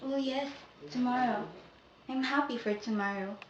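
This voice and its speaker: A woman's voice: extremely high pitch, a little slow, pushed and bright, far from the mic, highs cut off, slight background noise, heavily acted. An actress playing a distraught young woman sliding into madness, with a theatrical, declamatory delivery in an echoey room. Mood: hushed, fading. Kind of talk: melodramatic stage acting.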